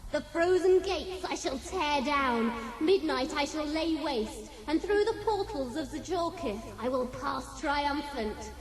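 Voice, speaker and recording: An actress playing a cruel, imperious young sorceress empress in a fantasy audio drama, gloating theatrically and with scorn. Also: female voice, high-pitched. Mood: vengeful, triumphant. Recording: long cavernous reverb and echo.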